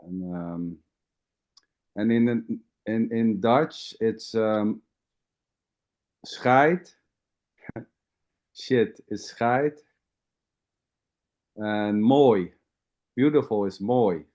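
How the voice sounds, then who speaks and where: A man in a casual group chat who speaks in a slow, deliberate way. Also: fairly low pitch, a little mellow.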